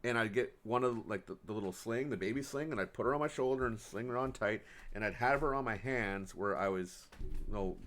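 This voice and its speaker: A man's voice: fairly low pitch, a little fast, fairly bright tone. A man co-hosting a casual chat about parenting, who rambles and restarts his sentences. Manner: rambling, restarts and laughs often. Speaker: podcast co-host.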